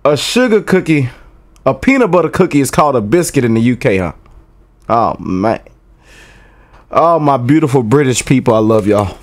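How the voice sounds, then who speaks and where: A man's voice: mid-range voice, big pitch swings, moderate pace, long pauses. A young American man hosting a reaction video on a headset mic, chatty and loose, often saying "you know what I'm saying". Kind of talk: casual reaction commentary.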